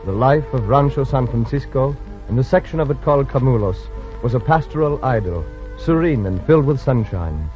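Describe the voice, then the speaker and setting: A man's voice: medium pitch, expressive, a little fast. A man narrating a scripted story in a dramatic 1940s radio style. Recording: dull vintage radio recording with music.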